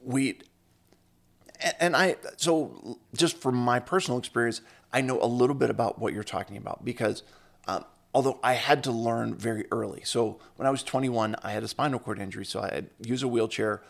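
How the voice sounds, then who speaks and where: Upbeat podcast host man, gravelly voice. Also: medium pitch, a little fast. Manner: upbeat, enthusiastic introductions. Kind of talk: casual interview.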